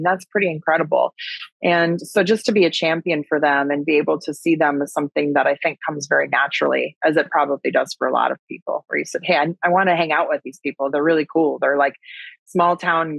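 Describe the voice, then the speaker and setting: A woman's voice: deep voice, vocal fry, no echo, low-quality recording. A woman with a Wisconsin accent talking casually and chattily as a guest in an interview. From Wisconsin, USA. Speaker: podcast guest, agriculture advocate and speaker.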